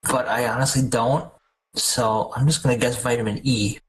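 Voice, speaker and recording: A man's voice: mid-range voice, fairly even intonation, normal speed, rough recording. Laid-back guy on a game show, kinda wry, mid voice, faint noise behind. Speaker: contestant. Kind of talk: casual game play.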